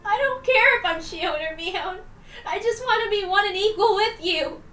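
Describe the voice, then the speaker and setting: A woman's voice: extremely high pitch, moderate pace, bright, forceful tone, pitched above the performer's own voice. A woman voicing a desperate, pleading teenage girl who cries and wails in a dramatic visual novel reading. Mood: tearful longing. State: crying, wailing. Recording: roomy echo, distant mic, music behind.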